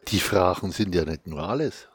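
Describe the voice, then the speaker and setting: A man with a Franconian accent, co-author of a quiz book, chatting casually and reading quiz questions with lively emphasis. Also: deep voice, normal speed, husky, no room sound. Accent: Franconian German. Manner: animated, laughs, whispers asides. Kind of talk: casual chat.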